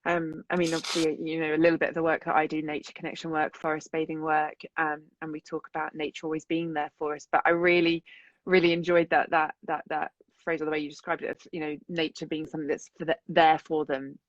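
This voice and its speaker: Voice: medium pitch, little pitch movement, fast, no room sound. An interviewer responds with enthusiasm and affirmation, then moves on to the next question. The sound is dry, with no room, and dull and lo-fi, as over a video call. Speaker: interviewer, forest bathing guide.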